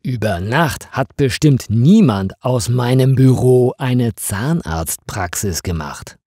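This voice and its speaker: A male storyteller plays an ordinary man in a mystery story, baffled and easily irritated. He muses to himself slowly, with expressive swings in pitch and a rough, husky tone. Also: dry recording, clean studio sound, full character voice.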